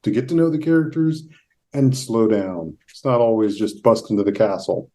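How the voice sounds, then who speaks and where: A man's voice: fairly low pitch, moderate pace, warm tone. A man hosting a podcast in a casual interview style. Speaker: podcast host.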